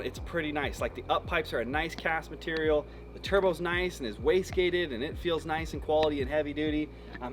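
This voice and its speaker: An American man presenting a vehicle shop's video, giving a frank, informal, slangy product review in a casual style. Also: on the high side.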